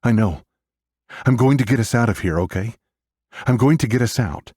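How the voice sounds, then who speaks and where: Guy playing a frightened 13 year old big brother in an audiobook, urgent and pleading, husky, raised pitch. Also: animated, moderate pace, darkish tone, no echo, clean studio sound. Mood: pleading, reassuring. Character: scared thirteen-year-old boy, fiercely protective big brother.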